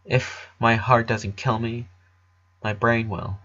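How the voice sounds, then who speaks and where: A man's voice: mid-range voice, fairly even intonation, slow, fairly bright tone, highs cut off. A man playing a polite, resigned eleven-year-old boy in a story, hesitant and subdued.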